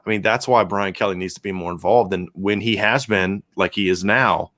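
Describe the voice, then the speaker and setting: A man with a sore, hoarse throat co-hosting a live football podcast, chatting through viewer questions and often restarting his sentences as he thinks aloud. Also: normal speed, fairly bright tone, no room sound. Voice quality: deep voice. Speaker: podcast co-host and football analyst. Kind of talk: casual live-stream chat answering viewer questions.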